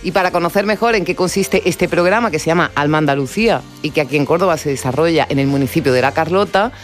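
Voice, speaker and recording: A woman's voice: deep voice, fast, soft background music. A woman radio host presenting and interviewing in a conversational style.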